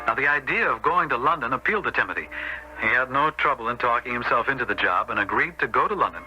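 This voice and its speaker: An actor playing a stern, upright American older brother, a storekeeper, in a 1970s radio drama. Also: male voice, medium pitch, expressive, quick pace, pushed and bright, soft background music. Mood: recounting. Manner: stern, admonishing, firm. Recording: dull, lightly noisy old radio recording.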